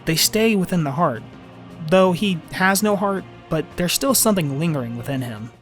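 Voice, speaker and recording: High-pitched, very animated male video essayist. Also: moderate pace, over music. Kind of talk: informal scripted fan commentary.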